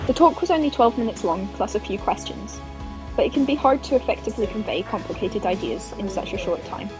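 A woman's voice: mid-range voice, expressive, brisk, rough recording. Young woman vlogger over background music. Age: 20s. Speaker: PhD student vlogger. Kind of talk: vlog narration and casual chat to camera.

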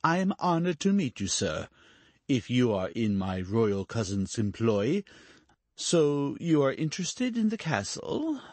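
A woman's voice: very deep voice, animated, slow pace, no room sound, pitched below the performer's own voice, exaggerated voice. A woman doing a pompous, patronizing duke. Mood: haughty. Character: haughty young Spanish duke, patronizing, stiff.

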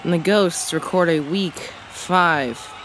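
A man calls a marble bowling competition in quick play-by-play, his slightly high voice jumping up on each big hit or miss. A loud, steady hum of background noise sits under the whole recording. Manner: excitable, rising on big moments. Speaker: marble race commentator. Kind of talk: play-by-play commentary.

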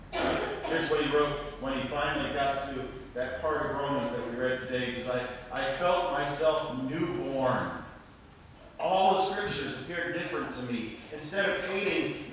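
A man's voice: mid-range voice, fairly bright tone. Middle-aged male pastor, earnest sermon delivery, distant pickup in a reverberant sanctuary. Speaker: Lutheran pastor preaching. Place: church sanctuary at a lectern. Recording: echoey church, distant mic, faint noise.

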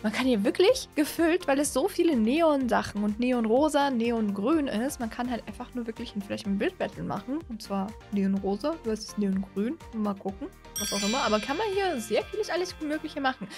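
Casting: woman, 20s, high voice, gushing gaming host, chatty and repetitive, close studio mic, soft music underneath. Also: animated, fairly quick, hardly any pauses. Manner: gushing, repeats words and phrases. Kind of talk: excited casual reaction commentary to camera. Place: small indoor streaming room. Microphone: close studio microphone on a boom arm.

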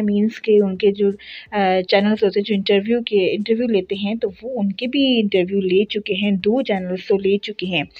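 A woman's voice: mid-range voice, darkish tone, dull audio. A woman in her thirties from Pakistan, chatting casually to her vlog audience with lots of fillers and self-corrections. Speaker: family vlogger.

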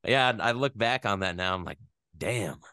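Man, low voice, smooth and resonant, dry close sound, American from the West Coast, rambling, animated. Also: moderate pace, dry recording. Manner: rambling, animated, self-deprecating. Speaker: voice actor guest. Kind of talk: casual interview chat.

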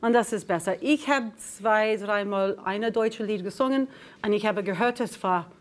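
A middle-aged Texan woman, a native English speaker and singer, chatting in halting German on a TV studio set, laughing often and searching for words. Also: medium pitch. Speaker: singer, talk show guest. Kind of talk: casual chat in halting German.

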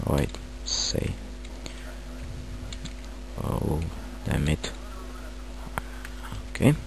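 A man with a Romanian accent, not a native English speaker, halting through a screen-recorded software tutorial with many fillers. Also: rumbling low, big pitch swings, vocal fry, noisy background. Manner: hesitant, halting, filler-heavy. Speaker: tutorial maker.

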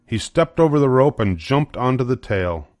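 A man's voice: medium pitch, lively intonation, moderate pace, a little mellow, no room sound, clean studio sound. An older man narrating a children's storybook as its reader.

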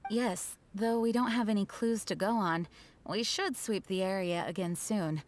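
A woman voicing a responsible, caring young knight commander in a video game, composed and gently firm. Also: mid-range voice, a little slow, close to an everyday voice. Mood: focused.

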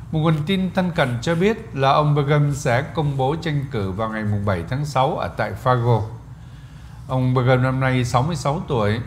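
A man's voice: mid-range voice, a little hiss or hum. A man reading a news voice-over in a plain way, with a small filler now and then. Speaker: news reporter.

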